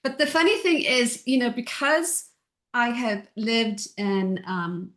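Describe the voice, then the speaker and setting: A middle-aged woman from Ireland with a softened Irish accent, chatting as an interview guest in a gentle, reflective way. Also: on the high side, takes it slowly. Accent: Irish, softened by years in Texas. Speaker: guest, author and audiobook narrator. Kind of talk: casual interview chat.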